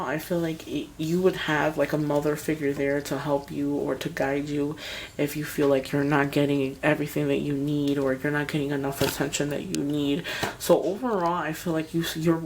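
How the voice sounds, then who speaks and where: A woman with a scratchy, hoarse voice giving a tarot reading, talking straight to her viewers in a hesitant, rambling way. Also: low-pitched, darkish tone. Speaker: tarot reader.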